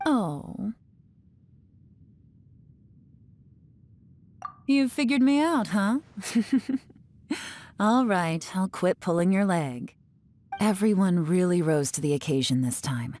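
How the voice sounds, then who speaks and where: A woman voicing a cool, composed and slyly teasing agent in an animated video game. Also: lowish voice, measured pace, natural voice. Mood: sincere. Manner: wry, composed.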